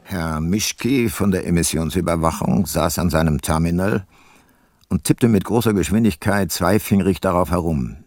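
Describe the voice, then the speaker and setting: An actor playing a male private investigator narrating his case in a radio play, measured, laconic and deadpan. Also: sits low, no room sound, natural voice. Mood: observant, dry.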